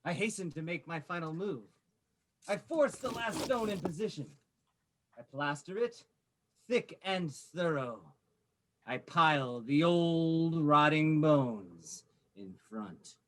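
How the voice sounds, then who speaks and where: Vengeful nobleman killer, mock polite, slow and swoopy, echoing like a big hall. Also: on the high side, expressive, a bit bright. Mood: grim resolve. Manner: formal, mocking, deliberate. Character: coldly vengeful aristocratic murderer, mockingly polite. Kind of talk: theatrical gothic horror drama. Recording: long hollow reverb.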